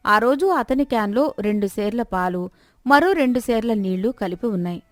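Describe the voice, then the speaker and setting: A woman's voice: medium pitch, no echo. A woman narrating a scripted moral story as a storyteller.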